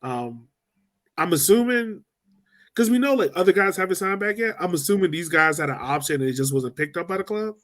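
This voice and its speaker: A man hosting a sports podcast with a slightly higher voice than most men. He talks in a loose, rambling way and works through his thoughts out loud. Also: lively intonation, a little fast. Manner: loose, rambling, laughs easily. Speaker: podcast host. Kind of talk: casual sports chat.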